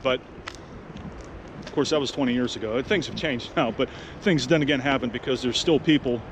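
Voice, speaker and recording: A man in his forties with a head cold talks into his phone as he walks a city street at night, blunt and profane, rambling from one point to the next. His voice sits at an ordinary middle pitch, and clear traffic and street noise runs behind it the whole time. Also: normal speed. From Pittsburgh, United States. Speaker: vlogger. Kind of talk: walking street vlog.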